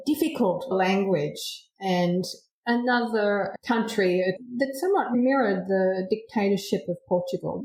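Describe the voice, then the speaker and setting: Mellow-voiced woman host in a roomy space. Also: medium pitch. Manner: curious, reads background with brief hesitations. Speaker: podcast host. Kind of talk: interview questions. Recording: roomy echo.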